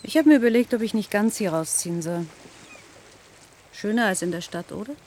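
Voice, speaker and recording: Actress as a low-voiced, candid, wry woman. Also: lively intonation, a little fast, darkish tone, a little hiss or hum, lightly acted. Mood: wistful, wry. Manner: informal, intimate, candid. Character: candid, wry female translator, lover of the heir. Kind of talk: German radio crime drama acting.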